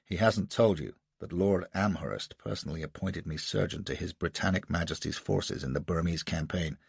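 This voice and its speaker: A man's voice: low voice, normal speed, gravelly, husky, dry recording, voice pushed down, heavily acted. A man voicing a shifty, brazen American rogue who answers in a curt, cool, defiant way, with an American accent.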